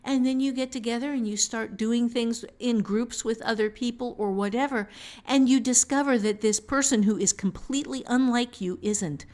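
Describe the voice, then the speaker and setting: A woman co-hosting a podcast, giving casual writing advice in a deliberate, explanatory way with emphatic pauses. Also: a little high, darkish tone. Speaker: podcast co-host.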